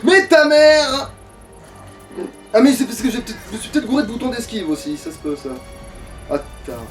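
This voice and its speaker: A man's voice: very high voice, expressive, moderate pace, far from the mic, soft background music. A man giving casual gaming commentary to a co-player, foul-mouthed and grumbling. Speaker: let's player.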